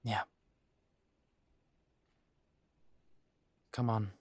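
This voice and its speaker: A man's voice: no echo, lightly acted. Man acting a weary, exasperated werewolf boyfriend. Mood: resigned, decided. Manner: exasperated, halting, sighing delivery. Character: weary, conflicted male werewolf arguing tenderly with his mate. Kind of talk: intimate roleplay audio drama.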